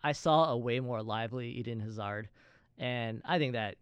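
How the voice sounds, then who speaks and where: A man's voice: mid-range voice, moderate pace, clear, resonant tone, no room sound, muffled recording. A man co-hosting a sports show, discussing soccer in a casual, conversational way. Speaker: soccer talk show co-host.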